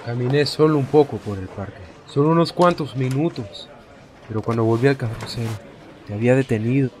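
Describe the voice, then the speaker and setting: A man's voice: medium pitch, a little fast, darkish tone, slight background noise, lightly acted. A man with a Latin American accent playing an anxious, guilt-ridden father in a video game dub. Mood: anxious, subdued. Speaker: fan dub voice actor.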